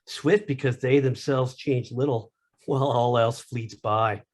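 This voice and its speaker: A man's voice: mid-range voice, steady tone, measured pace, a little mellow, close to an everyday voice. A man voicing a laid-back philosophical elf with a drawling, stoner-like way of musing in a comedic table read. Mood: mellow musing.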